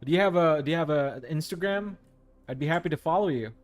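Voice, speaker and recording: A man's voice: on the high side, fast talker, no echo, soft background music. A man hosting a live art stream, reading out chat messages and answering them casually, with some hesitant restarts. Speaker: livestream host. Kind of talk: casual chat with viewers while painting.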